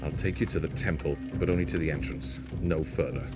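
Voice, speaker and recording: A gruff survivor guide in an audio drama, played by a man. His extremely deep voice is terse and grudging, with clear music behind it. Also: fairly quick.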